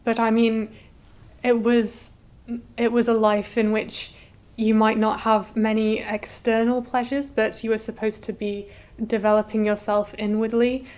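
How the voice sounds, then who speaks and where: Even-toned scholarly woman explaining history. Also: medium pitch, clear, resonant tone. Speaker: exhibition curator being interviewed. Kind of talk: explanatory interview talk.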